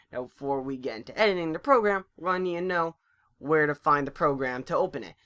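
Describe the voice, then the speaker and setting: A narrator giving an informal, chatty software tutorial, occasionally stumbling over words. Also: mid-range voice. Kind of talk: casual screen-recording tutorial narration.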